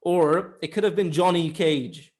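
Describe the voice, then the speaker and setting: A man's voice: fairly high pitch, unhurried, darkish tone. A man co-hosting a casual film discussion, argumentative and emphatic, with some casual swearing. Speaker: podcast co-host reviewing films.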